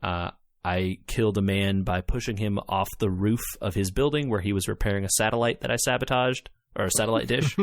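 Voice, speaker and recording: A man co-hosting a podcast, chatting casually about video games and retelling things in an animated way. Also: on the low side, a little fast, hardly any pauses, no room sound, studio quality. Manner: animated retelling, acting out quotes, laughing often. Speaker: podcast co-host.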